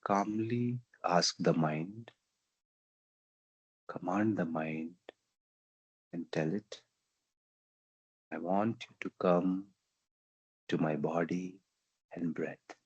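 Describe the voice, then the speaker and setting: Indian guy doing guided meditation, low husky voice, gentle, lots of pauses. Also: no echo, highs cut off. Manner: gentle, unhurried pauses, simple repeated phrases. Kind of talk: guided meditation, slow calming instruction.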